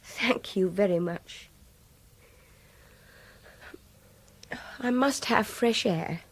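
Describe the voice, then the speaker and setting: An actress playing a defiant young art student held captive in a radio drama, terse and indignant. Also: female voice, mid-range voice, slow pace, breathy edge. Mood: cold, bitter.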